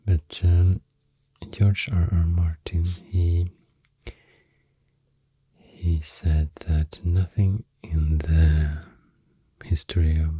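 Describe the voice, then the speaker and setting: A woman with a Swedish accent doing soft-spoken ASMR, hushed and halting, with a deep, breathy, often whispery voice close to the mic. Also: steady tone, no room sound. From Sweden. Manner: slow, hushed, halting with long pauses. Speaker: ASMR host. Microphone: close microphone. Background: soft pencil and clicking sounds.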